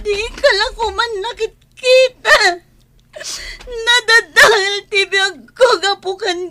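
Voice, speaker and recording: A woman's voice: extremely high pitch, steady tone, slow, background noise. A woman acting a fiery, aggrieved young wife in a heated audio drama. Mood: angry, tearful. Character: fiery, aggrieved young woman scorning her useless man.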